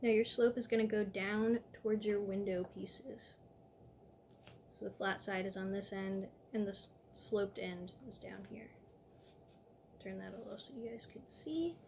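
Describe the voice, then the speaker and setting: A woman walking viewers step by step through a toy building set in a calm, instructive way, a little hoarse from losing her voice. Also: mid-range voice, measured pace, slight background noise.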